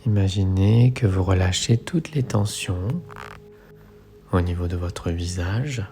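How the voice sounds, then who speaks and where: A man leading a guided relaxation meditation as an instructor, speaking in soothing, measured phrases. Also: low-pitched. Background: soft music.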